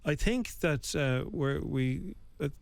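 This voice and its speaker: A man co-hosting a radio show, telling stories with a lively, varied voice. The sound is close and dry, with no room echo. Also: a little high, takes it slowly, gravelly. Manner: chatty, anecdotal. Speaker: radio breakfast show co-host. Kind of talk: casual radio chat.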